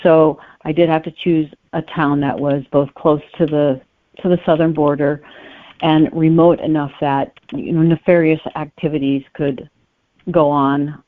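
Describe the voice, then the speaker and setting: A woman's voice: deep voice, level tone, unhurried, no echo. Woman author being interviewed over a narrow phone line, dry sound, explains stuff and qualifies as they go. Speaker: guest novelist.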